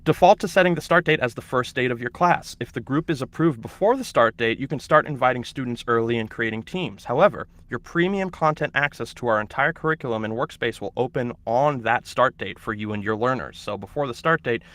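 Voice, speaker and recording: A man's voice: mid-range voice, lively intonation, brisk, few pauses, a bit bright. A man hosting an online orientation webinar for teachers, explaining steps and introducing a guest, with some hesitations and restarts. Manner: instructive, hesitant with fillers and restarts. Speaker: webinar host.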